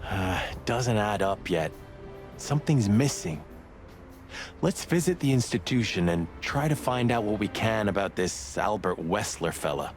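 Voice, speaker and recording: A man's voice: on the low side, animated, unhurried, soft background music. A man playing a loyal, hot-headed rooster cop in a noir game, wisecracking and blustery. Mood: wry, thoughtful. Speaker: detective's partner. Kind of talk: film noir game voice acting.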